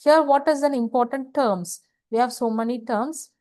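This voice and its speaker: Woman lecturing, halting and dry-sounding. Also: on the high side, mellow, no room sound. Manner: halting, repetitive, asks and answers own questions. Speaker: engineering lecturer. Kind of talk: slide lecture explaining technical material.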